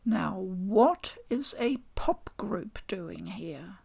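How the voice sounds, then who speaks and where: Slow, creaky-voiced woman reviewing over music. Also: mid-range voice, animated, dark tone. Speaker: game reviewer. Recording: home voice-over with music underneath. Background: game music.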